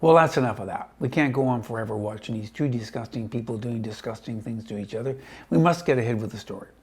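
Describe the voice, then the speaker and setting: Husky man reading a children's story. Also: mid-range voice, fast talker. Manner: conversational, chatty asides to listeners. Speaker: storybook reader.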